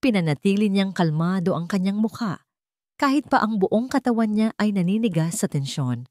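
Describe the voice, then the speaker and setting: A woman's voice: mid-range voice, expressive, moderate pace, dark tone, no room sound, studio quality. A woman with a Filipino accent reading a dramatic story as a scripted narration.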